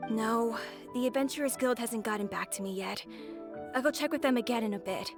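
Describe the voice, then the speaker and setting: A woman's voice: on the high side, fairly quick, over music, natural voice. A woman voicing a young daughter, anxious and pleading over her sick father in a video game. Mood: concerned.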